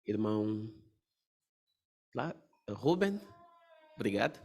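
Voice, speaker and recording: A man's voice: lowish voice, big pitch swings, slow pace, vocal fry. A man leading a question-and-answer religious meeting with brief, orderly prompts, calling on people to answer. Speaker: study conductor.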